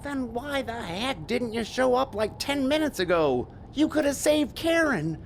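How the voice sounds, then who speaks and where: An actor playing a desperate, flustered washed-up stage magician in an audio drama, pleading haltingly. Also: male voice, very high-pitched, animated, slight background noise, full character voice. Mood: indignant.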